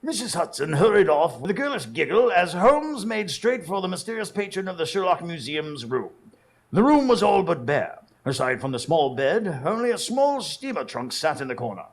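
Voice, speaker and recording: An actor playing an earnest detective's sidekick who narrates dramatically and joins the dialogue in a live radio drama, gravelly and husky. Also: male voice, high voice, very animated, moderate pace, exaggerated voice. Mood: narrating.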